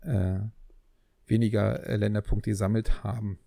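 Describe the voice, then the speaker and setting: A man's voice: lowish voice, little pitch movement, normal speed, gravelly, warm tone, no room sound, studio quality. A man co-hosting a casual podcast chat, joking around with lots of fillers and restarts. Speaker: podcast co-host.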